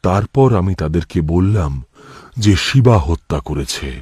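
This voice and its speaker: A man's voice: sits low, lively intonation, measured pace, darkish tone, slightly rough, dry recording, clean studio sound. A man reading scripted horror cartoon narration in the first person, in a dramatic, suspenseful manner.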